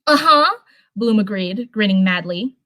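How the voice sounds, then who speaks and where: A woman's voice: medium pitch, normal speed, fairly bright tone. A woman reading fan fiction aloud on a live stream, stumbling over and repeating phrases and chatting casually in between. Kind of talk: live storytelling read-aloud with casual chat.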